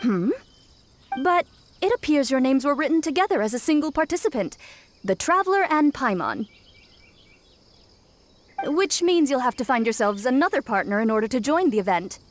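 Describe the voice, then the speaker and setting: A woman's voice: sits high, lively intonation, moderate pace, pauses often. A woman voicing a young shrine maiden in a video game, sly, cryptic and theatrically foreboding. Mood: matter-of-fact. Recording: faint background music.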